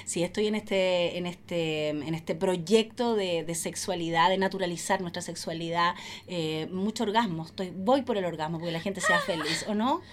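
A woman with a Chilean accent chatting casually and bawdily as a comedian guest, playful and animated, often repeating her phrases. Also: fairly low pitch, normal speed, hardly any pauses, slight background noise. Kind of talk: casual, bawdy chat.

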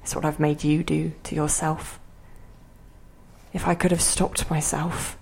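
Husky, grave female spirit. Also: deep voice, flat delivery, measured pace, darkish tone, heavily acted. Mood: grave, resigned. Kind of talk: audio play acting.

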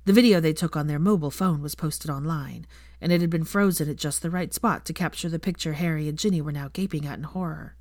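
Woman, audiobook narrator, lowish voice, measured, expressive, dry close recording. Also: dry recording. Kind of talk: scripted story narration.

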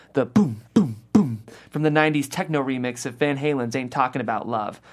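A man narrating scripted stage directions at a comedy table read. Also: on the high side.

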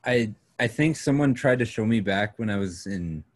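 A nineteen-year-old man talks casually with friends on a podcast. His voice runs somewhat low, and he is chatty and agreeable, quick to laugh along. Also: lively intonation. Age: late teens. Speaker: podcast co-host. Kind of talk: casual chat.

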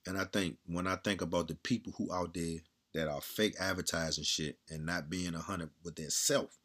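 A man talking bluntly and candidly in a casual monologue, with a strong, loud voice, repeating himself and swearing freely. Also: low-pitched, steady tone, normal speed.

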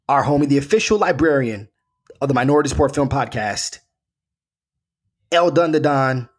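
A man's voice: mid-range voice, slightly rough. A young man hosting a film podcast, hyped and jokey, with a lot of slang. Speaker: podcast host. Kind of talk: casual chat.

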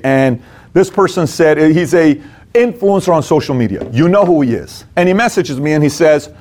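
A man with a slightly high voice delivers a motivational business talk. He speaks conversationally but with emphasis, breaking points into short punchy phrases. He often poses questions and then answers them himself. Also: a little mellow.

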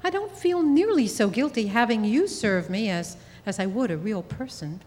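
A woman's voice: on the high side, lively intonation, normal speed, a little mellow, a little hiss or hum, close to an everyday voice. A woman playing a loyal, dry-witted old lady in a comic stage show, arch and precise in her banter. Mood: sympathetic. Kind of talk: comic audio theatre acting before an audience. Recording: reverberant live theatre hall.

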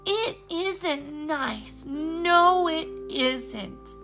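Woman doing a tiny sweet little girl, high and slow, all wonder. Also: expressive, over music, heavily acted. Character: small girl, sweet and earnest.

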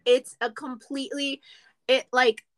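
A woman's voice: high-pitched, little pitch movement, unhurried, fairly bright tone. A woman co-hosting a podcast in casual chat, playful and sarcastic, laughing often. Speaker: podcast co-host.

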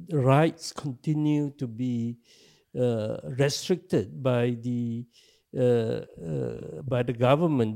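An older man from Singapore, speaking with a Singaporean English accent, who gives measured, halting answers in an interview. His voice is gravelly and dark, and he often pauses on fillers as he thinks. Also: medium pitch. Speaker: interview guest, activist.

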